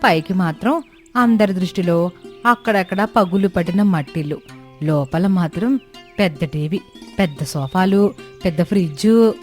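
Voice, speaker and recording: A woman's voice: lowish voice, a little slow, pauses often, soft background music, natural voice. A woman playing an envious, scheming, pushy village mother-in-law with an insistent, grumbling way of talking in a cartoon moral story. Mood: pleading, envious.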